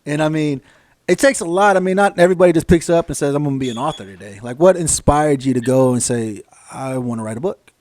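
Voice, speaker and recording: A fast-talking American man hosts a casual podcast in a slightly high male voice. He repeats phrases, swears freely and agrees readily, with a close, dry sound. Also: a little mellow, dry recording. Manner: repeats phrases, profane slang, effusive agreement. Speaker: podcast host, military veteran.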